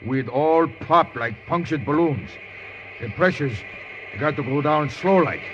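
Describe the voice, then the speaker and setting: A man's voice: on the high side, unhurried, close to an everyday voice. An actor playing a tough, sardonic, bossy tunnel foreman with brusque working slang in a 1940s radio drama. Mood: sardonic, explaining. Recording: dull, slightly noisy old radio.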